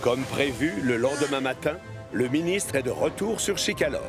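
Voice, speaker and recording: A man reads scripted narration for a children's cartoon. His voice sits at an ordinary male pitch, with music playing clearly behind him. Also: expressive, moderate pace.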